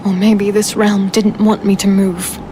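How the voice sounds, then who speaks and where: A woman's voice: mid-range voice, little pitch movement, a little slow, noisy background, close to an everyday voice. A woman playing a defiant human fighter, telling her ordeal in first person as dramatic fantasy storytelling. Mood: uneasy, reflective. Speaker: narrator and heroine.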